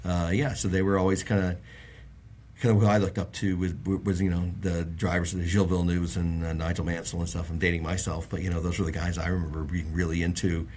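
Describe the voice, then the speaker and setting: A man's voice: low-pitched, flat delivery, a little fast, darkish tone, slight background noise. A middle-aged man from the Bahamas, a guest on an interview show, telling long stories with lots of fillers and restarts. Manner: rambling, many fillers and restarts. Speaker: auto industry guest. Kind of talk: casual interview chat.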